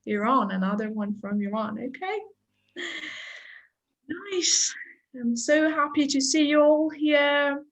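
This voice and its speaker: Woman, webinar presenter, slow, slightly high voice, chatty, video call. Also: low-quality recording. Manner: chatty, unhurried, with light laughs and fillers. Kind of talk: friendly online teacher talk.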